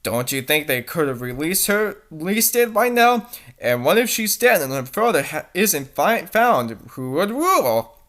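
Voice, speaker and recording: A woman's voice: fairly low pitch, very animated, measured pace, a bit bright. A woman reading a story aloud theatrically and adding exasperated, mocking asides. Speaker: fanfic reader and commentator.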